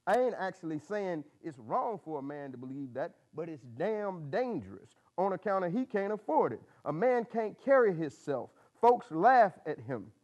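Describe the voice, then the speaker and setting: Man acting blunt old country mentor, voice pitched up. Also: sing-song, normal speed, hardly any pauses, a little mellow. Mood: preaching, blunt. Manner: folksy, plain-spoken, colloquial. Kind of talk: dramatic literary reading.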